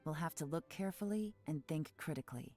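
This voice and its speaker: A woman voicing a sharp-minded female investigator in a video game, calm and measured as she reasons things through. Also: sits low. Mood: reasoning. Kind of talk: game voice acting. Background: game music.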